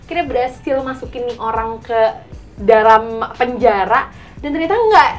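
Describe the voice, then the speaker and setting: A woman's voice: a little high, lively intonation, normal speed, soft background music. A woman with a Jakarta Indonesian accent talking emphatically and in slang as a panelist in a casual group discussion. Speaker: talk show panelist.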